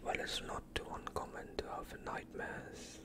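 A young man in a whispered ASMR doctor roleplay, speaking calmly and soothingly in a breathy, unvoiced whisper close to the microphone. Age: young adult. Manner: calm, soothing, unhurried questioning. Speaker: ASMR performer playing a doctor.

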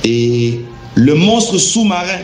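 A man telling a religious testimony in deliberate, emphatic, halting phrases. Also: fairly high pitch, very animated, faint music behind. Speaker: guest giving a religious testimony. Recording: echoey room with faint background noise.

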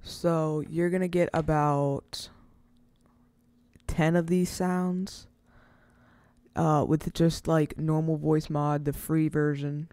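A casual, rambling speaker hosting an informal step-by-step software tutorial, recorded close to the mic as a voice-over. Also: medium pitch, level tone, takes it slowly, pauses often, no room sound. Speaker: tutorial host.

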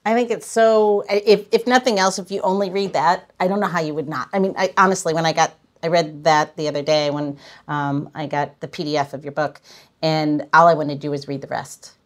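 A woman's voice: low-pitched, fairly even intonation, fairly quick. A woman hosting a podcast, chatting casually in an effusive, rambling way. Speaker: podcast host. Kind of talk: casual interview chat.

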